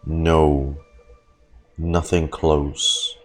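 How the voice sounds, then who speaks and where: A man's voice: extremely low pitch, flat delivery, very slow. A man streaming a game with casual, playful, sweary commentary, sometimes reading dialogue aloud. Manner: profane, playful. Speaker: gaming streamer. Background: faint game music.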